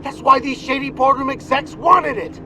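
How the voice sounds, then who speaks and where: A man's voice: extremely high pitch, steady tone, normal speed, noisy background. A man playing an impassioned, defiant young soldier in a post-apocalyptic video game, arguing emphatically. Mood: heated, indignant. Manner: emphatic, argumentative, pleading, swearing. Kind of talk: video game cutscene acting.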